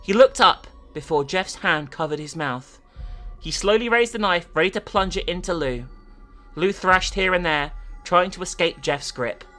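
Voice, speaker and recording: Woman doing dramatic story narration n casual commentary, lively bright voice, faint music. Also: lowish voice, normal speed.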